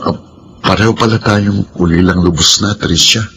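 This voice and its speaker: A man playing a kind, hardworking young man in a radio drama, polite and gentle. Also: on the low side, fairly even intonation, moderate pace, gravelly, slight background noise.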